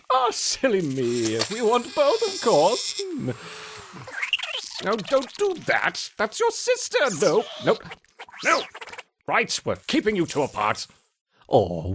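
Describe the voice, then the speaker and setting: An unhinged scientist for a video game. His slightly husky voice leaps wildly in pitch. He mutters fondly to himself, then erupts in theatrical zeal. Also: heavily acted. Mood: doting, excited. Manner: theatrical, muttering to himself, swinging from purring to shrieking. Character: unhinged, gleeful male mad scientist doting on his specimens. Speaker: narrator and main character of a strategy game. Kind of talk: dramatic video game narration.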